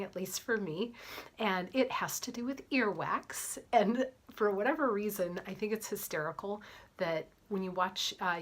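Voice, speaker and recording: A middle-aged woman with a cold, chatting to camera as she tells a story, often dropping into soft whispered asides. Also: on the low side. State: has a cold. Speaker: vlogger, physician assistant and fitness coach.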